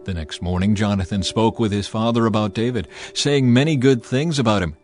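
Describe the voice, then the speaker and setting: A man narrating a dramatized scripture reading in a measured, solemn storytelling manner. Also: lowish voice, music in the background.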